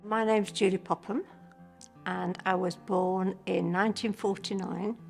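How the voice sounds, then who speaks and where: A woman in her seventies gently recalling a childhood library. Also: medium pitch, fairly even intonation, a little slow, vocal fry, soft background music. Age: 70s. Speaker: interviewee. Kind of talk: casual reminiscing.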